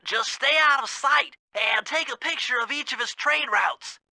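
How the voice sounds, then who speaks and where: A young, brainy turtle sidekick, nervous and precise. The voice is nasal, high and very bright, recorded dry for a cartoonish game. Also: male voice, moderate pace, gravelly, dry recording, heavily acted. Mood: earnest briefing. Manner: earnest, precise, easily panicked. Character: nerdy, anxious young male turtle brain.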